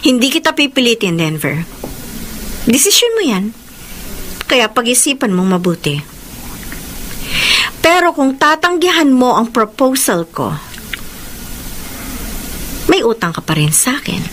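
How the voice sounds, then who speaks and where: A woman's voice: fairly high pitch, sing-song, measured pace, pauses often, fairly bright tone, slight background noise, low-quality recording. A woman with a Filipino accent playing a demanding, seductive rich woman in a radio drama, taunting and insistent. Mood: threatening. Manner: taunting, insistent, theatrical delivery. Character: demanding, seductive, controlling wealthy woman pursuing a married man.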